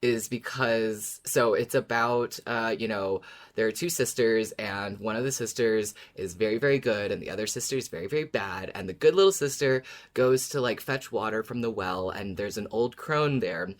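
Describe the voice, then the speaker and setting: A woman's voice: rumbling low, moderate pace. A woman co-hosting a podcast, retelling stories casually in a conversational, giggly way. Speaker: podcast co-host.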